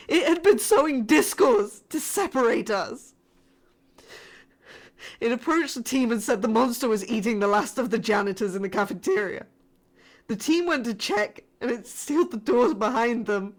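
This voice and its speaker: A woman playing a frightened spaceship crew survivor sending a distress message in a scripted sci-fi horror audio drama, formal but shaken and halting. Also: high-pitched. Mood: anguished, near tears. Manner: formal reporting that breaks into shaken, halting, emotional delivery.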